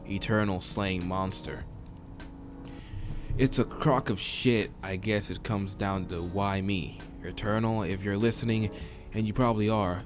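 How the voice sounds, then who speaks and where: A man's voice: fairly low pitch, natural voice. A man reading as a weary, sceptical human stranded among monsters in a visual novel, plain and downbeat. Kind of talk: visual novel dialogue and first-person narration read aloud.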